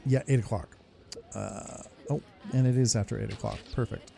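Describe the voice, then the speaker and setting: A man's voice: mid-range voice, steady tone, moderate pace, creaky, warm tone. A man giving casual, muttered commentary as he plays through a video game. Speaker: gamer, playthrough host. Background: faint game music.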